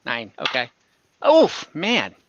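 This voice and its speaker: A man's voice: on the high side, wildly swooping pitch, takes it slowly, dry recording, muffled recording. A man running an online tabletop game as game master, talking in a casual, informal way.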